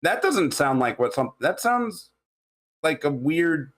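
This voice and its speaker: A man giving casual critique in a halting, rambling way, often searching for words. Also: on the high side, big pitch swings, fairly bright tone. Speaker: table read participant giving feedback.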